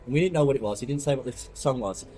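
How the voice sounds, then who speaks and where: A man's voice: mid-range voice, racing, darkish tone, slight background noise. A man talking as a podcast guest about street piano playing, an enthusiastic storyteller who laughs often. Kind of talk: casual chat.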